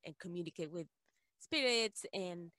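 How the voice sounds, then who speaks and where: A woman's voice: fairly low pitch, expressive, normal speed, a bit bright, dry recording, clean studio sound. A woman speaking conversationally as a guest interviewer on a podcast. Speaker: guest interviewer, client of the host.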